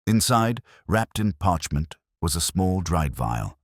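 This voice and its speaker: A man narrating a mystery story in a measured, scripted storytelling delivery. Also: sits low, very animated, dry recording, clean studio sound.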